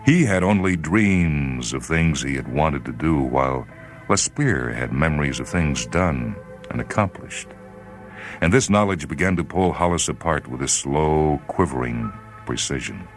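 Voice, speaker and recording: A man reading a literary short story as dramatic scripted narration, with grave, deliberate phrasing. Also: a bass voice, lively intonation, faint music behind. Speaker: short story reader on a radio series.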